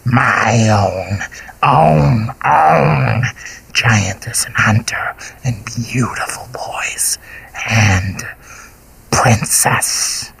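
A man voicing a hunched, rotten male monster who wheezes and whispers with slow gloating menace. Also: medium pitch, gravelly, a bit bright, slightly rough, exaggerated voice, low-quality recording. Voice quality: whispery, drawn-out hissing.

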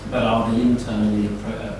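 A man reflecting haltingly as a panellist in a live discussion, repeating words as he thinks. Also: lowish voice, little pitch movement. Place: live festival panel in echoey room. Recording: very echoey, distant mic, background noise.

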